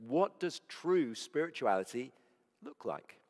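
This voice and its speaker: A man preaching with a hoarse, croaky voice. He sounds earnest and conversational, often correcting himself mid-sentence. His voice carries a long echo, as in a church hall. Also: medium pitch, very animated, measured pace. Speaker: preacher on the church leadership team. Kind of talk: sermon.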